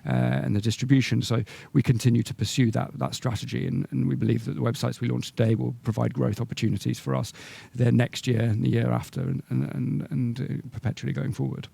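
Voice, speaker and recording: A man speaking as a company executive, answering questions on a business call in measured corporate language, with hesitant repetitions. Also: medium pitch, level tone, creaky, mellow, husky, no room sound.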